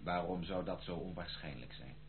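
Man playing a stiff, wary gentleman, tinny sound. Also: low voice, moderate pace, natural voice. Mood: guarded, courteous. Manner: formal, guarded, indignant politeness. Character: wary, protective gentleman houseguest, stiffly courteous. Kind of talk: radio play dialogue. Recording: tinny old radio recording, faint noise.

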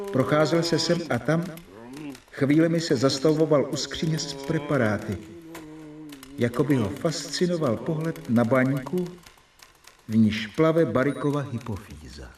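Expressive earnest doctor narrator played by a man, roomy. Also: a little high, a crowd in the background, natural voice. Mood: pensive, watchful. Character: earnest, observant young doctor narrating his journal. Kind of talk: dramatised first-person radio narration. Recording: roomy, distant, clear music behind.

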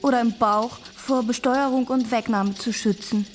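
A headstrong seventeen-year-old country girl played by a woman in a radio play. Her voice is high, and she sounds naive yet blunt and matter-of-fact. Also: fairly even intonation, moderate pace, a little hiss or hum, natural voice.

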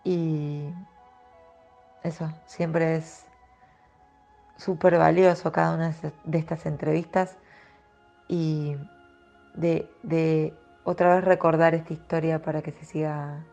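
A woman gives an informal testimonial in a low, unhurried voice with a creaky edge. The recording is slightly dull, with faint music behind her. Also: flat delivery, mellow.

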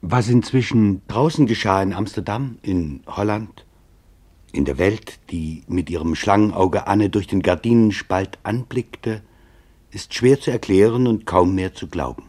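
Grave, low-voiced male documentary narrator. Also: darkish tone. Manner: grave, deliberate, with weighted pauses. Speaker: narrator of a radio documentary play.

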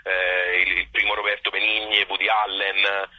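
A man with a Tuscan accent talking off the cuff as a phone-in interview guest, halting and repeating words as he looks for the phrasing. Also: deep voice, flat delivery, bright, forceful tone. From provincial Tuscany, Italy. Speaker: interview guest, playwright and actor. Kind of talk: spontaneous phone interview talk. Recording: harsh telephone line on radio.

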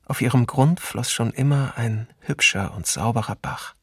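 Slow husky memoirist, sombre, restrained, literary radio documentary reading, dry studio sound. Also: male voice, mid-range voice, no echo. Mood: sombre, reflective. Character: sombre male memoir narrator recalling his childhood at a ravine.

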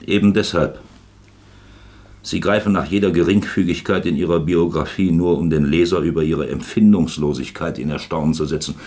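An actor playing a shrewd, patient magistrate in a radio play, speaking deliberately and probingly with a fatherly air. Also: very deep voice, steady tone, darkish tone. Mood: probing, ironic. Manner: deliberate, probing, pausing for weight. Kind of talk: German radio play acting.

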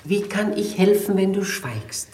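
A dignified elderly noblewoman played by an actress, kindly but resolute. She speaks with deliberate, theatrical earnestness in the manner of old radio drama. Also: medium pitch, animated, a little mellow, lightly acted. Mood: urgent, earnest. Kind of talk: 1950s German radio play acting.

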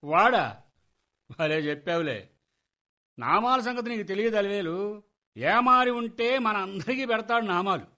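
A middle-aged husband in a radio comedy, high and sharp in voice. He grumbles, argues and nags in exasperation. The recording is dull and lo-fi. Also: lively intonation, moderate pace, pauses often. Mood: sarcastic warning. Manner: nagging, argumentative. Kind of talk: Telugu radio comedy play acting.

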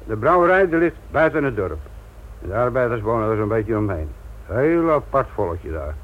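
An actor playing a blunt, sardonic, middle-aged police inspector lecturing trainees in a Dutch radio play. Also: male voice, mid-range voice, big pitch swings, normal speed. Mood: brisk, scornful. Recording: tinny, noisy old radio play recording.

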